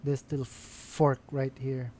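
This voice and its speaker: Guy streaming a game, mumbling to himself real slow, faint hum behind. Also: mid-range voice, little pitch movement, mellow. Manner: mutters to himself while playing. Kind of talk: casual gameplay commentary.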